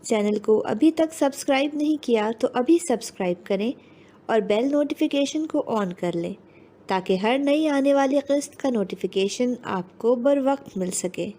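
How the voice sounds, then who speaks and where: A woman's voice: on the high side, lively intonation, a little slow, mellow. A woman reading a scripted announcement for an audio novel channel.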